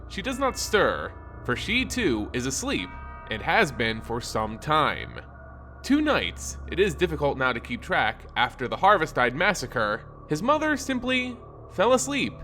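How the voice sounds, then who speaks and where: A man narrates dark fantasy fiction in a slightly high voice that rises and falls widely. His delivery is solemn and theatrical, with deliberately placed phrases. Faint music runs behind him. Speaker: story narrator. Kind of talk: dramatic scripted fantasy narration.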